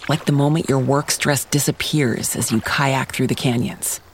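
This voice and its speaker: A man's voice: mid-range voice, fairly even intonation, moderate pace, slight background noise. A man reading a scripted advertising voice-over as an announcer.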